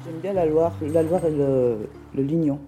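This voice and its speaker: A woman muses softly and admiringly, lingering over each image. Her voice is low and very dark and mellow, with faint music behind. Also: normal speed. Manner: dreamy, admiring musing. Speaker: local resident. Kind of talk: personal reflection.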